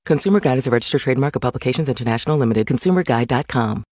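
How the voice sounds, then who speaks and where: A woman with a strikingly deep voice announces a commercial. She reads the script as a voice-over. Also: animated, racing, over loud music. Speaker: advert announcer. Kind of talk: scripted advert narration.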